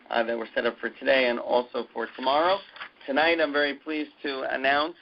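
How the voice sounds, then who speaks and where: A man giving an update on a conference call in a halting, hesitant way with many filler pauses, as a university president addressing his community. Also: mid-range voice, a little hiss or hum. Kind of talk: conference call update, semi-scripted announcement. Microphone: conference phone call. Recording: dull muffled conference call audio.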